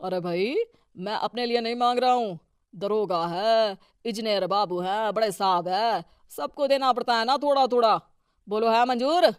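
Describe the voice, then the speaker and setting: Someone playing a clear-voiced, domineering male politician. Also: high-pitched, steady tone, normal speed, dry recording, clean studio sound, close to an everyday voice. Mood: sly, wheedling. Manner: bossy, self-important. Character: domineering, greedy, boastful husband, a local politician. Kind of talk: Hindi cartoon story dialogue.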